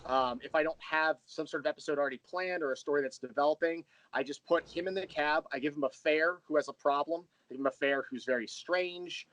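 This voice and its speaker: A man's voice: on the high side, fairly quick, few pauses, a bit bright, no echo, rough recording. A man speaking as the guest in a casual interview, explaining his creative work in a thoughtful, rambling storyteller's way. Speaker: interview guest, audio drama creator and writer.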